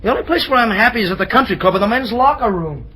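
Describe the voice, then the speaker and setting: A male actor playing a cheeky, lovesick teenage boy with a husky voice and eager slang in a 1950s radio comedy. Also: sits high, expressive, racing, sharp tone, slight background noise. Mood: put out.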